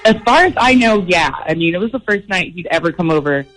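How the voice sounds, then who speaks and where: A woman's voice: on the low side, expressive, quick pace, a bit bright, faint music behind, poor audio. A young woman calling a radio show, telling her story in a casual, chatty way. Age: young adult. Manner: chatty, uses "like" often.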